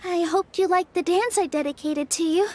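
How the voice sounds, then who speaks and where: A woman's voice: very high voice, normal speed. A woman voicing a shy, earnest young dancer in a game, speaking hesitantly and politely. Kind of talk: video game dialogue.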